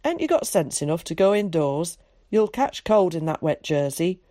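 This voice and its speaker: A woman voicing a gruff, blunt old countryman in a storybook reading. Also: fairly low pitch, very animated, normal speed, dark tone.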